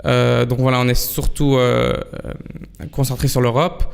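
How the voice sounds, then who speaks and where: A man in his thirties with a Belgian accent, answering interview questions in a halting, conversational way. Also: mid-range voice, little pitch movement, gravelly, clear, resonant tone. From Antwerp, Belgium. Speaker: fintech professional, interview guest. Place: broadcast studio.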